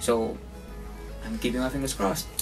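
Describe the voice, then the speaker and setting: A man's voice: mid-range voice, little pitch movement, normal speed. A man in his twenties, giving interview answers as a student. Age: 20s. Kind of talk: interview testimonial. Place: small cluttered office. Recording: distant mic, faint music underneath.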